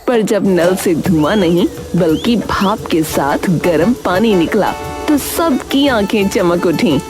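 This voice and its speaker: A woman's voice: mid-range voice, animated, takes it slowly, over music. A woman narrating a scripted comic story as its storyteller.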